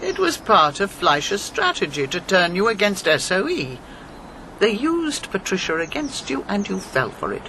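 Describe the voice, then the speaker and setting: An elderly former intelligence officer with a low, widely moving voice over clear background noise. She is shrewd, blunt and unsentimental, as heard in a radio play. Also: wildly swooping pitch, moderate pace, pushed and bright, heavily acted, rough recording. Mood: cool, accusing. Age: older. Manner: assured, blunt. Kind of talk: radio drama acting.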